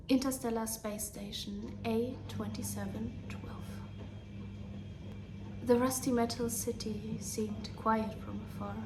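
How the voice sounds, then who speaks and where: German woman softly reading a bedtime story. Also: a little high, background noise. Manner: hushed, half-whispered reading.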